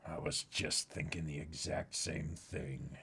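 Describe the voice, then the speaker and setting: A man voicing a grave, resolute warrior with a hushed, deliberate delivery in a comic book reading. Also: rumbling low, little pitch movement, gravelly, crowd noise, voice pushed down, full character voice. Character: grave, low-voiced male warrior, weary and resolute, ready for sacrifice.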